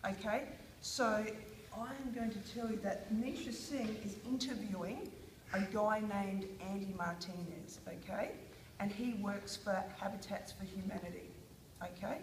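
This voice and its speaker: A woman's voice: medium pitch, a little slow, echoey room, slight background noise, rough recording. A woman with an Australian accent, teaching a small group of children a reading lesson, prompting them with questions and encouragement. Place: classroom.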